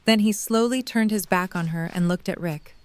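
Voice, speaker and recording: A woman's voice: medium pitch, normal speed, a little mellow, smooth, no room sound. A woman reading scripted narration for a dramatic audio story.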